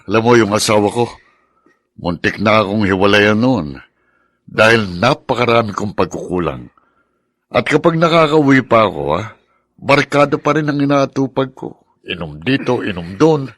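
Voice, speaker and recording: A man's voice: fairly low pitch, lively intonation, moderate pace, a bit bright, dry recording. An actor with a Filipino accent playing a fatherly, frank army captain counselling in a conversational way in a radio drama. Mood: confiding, earnest. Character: fatherly military captain, frank, advising senior officer.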